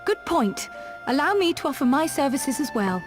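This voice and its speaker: A woman's voice: sits high, sing-song, moderate pace, over music. A woman playing a poised, articulate scholar in a fantasy game, formal and self-assured.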